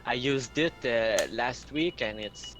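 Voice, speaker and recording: A guest chatting casually on a game stream, easygoing and quick to laugh. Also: mid-range voice, a bit bright. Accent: regional accent. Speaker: co-host on a stream. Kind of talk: casual chat. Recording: game music behind.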